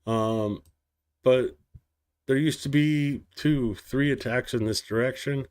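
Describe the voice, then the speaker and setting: Man, news stream host, slow deliberate reading, mid-pitched voice, stumbles over place names. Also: a little mellow, clear, resonant tone. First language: English. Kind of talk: reading war news aloud and commenting.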